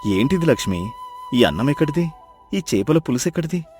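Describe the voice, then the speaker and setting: A man's voice: on the high side, very animated, a little fast, a little mellow, faint music behind. A man playing a poor, devoted fisherman husband in a moral story, speaking earnestly and with dramatic emphasis. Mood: alarmed questioning. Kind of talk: acted moral-story dialogue.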